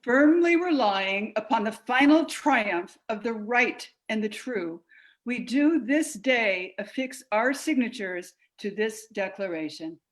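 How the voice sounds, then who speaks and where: Woman acting as solemn suffragist delegate, slightly high voice, slow declamation. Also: animated, poor audio. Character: solemn 19th-century suffrage convention delegate. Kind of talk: reader's theater declamation.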